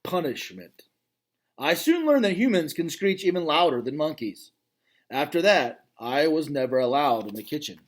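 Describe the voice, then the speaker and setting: A man reading a children's novel aloud as its gentle, thoughtful male gorilla narrator, in a calm, reflective way. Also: on the high side, moderate pace. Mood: wistful.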